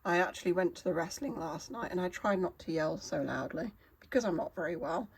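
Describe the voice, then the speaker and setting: A woman with a hoarse, wheezy voice from a cold, explaining a gadget in a casual vlog, halting and apologetic with many fillers. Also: lowish voice. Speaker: vlogger.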